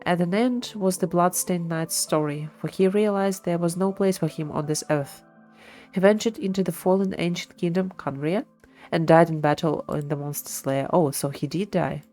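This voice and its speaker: Female game streamer, lowish voice, live commentary, faint music behind. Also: steady tone, moderate pace, mellow. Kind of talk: live gameplay commentary with lore read aloud.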